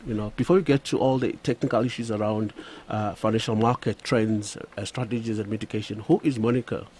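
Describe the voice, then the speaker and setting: A man's voice: lowish voice, fairly even intonation, brisk, a little mellow, slight background noise. A man hosting a radio interview, speaking with frequent hesitant fillers. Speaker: radio show host.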